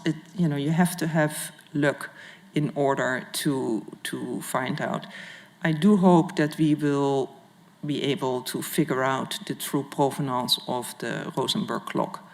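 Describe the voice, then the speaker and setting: A woman curator giving a public art history lecture in a formal, halting manner with careful phrasing. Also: sits low. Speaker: museum curator and guest lecturer. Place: large indoor lecture hall with audience. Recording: reverberant lecture hall.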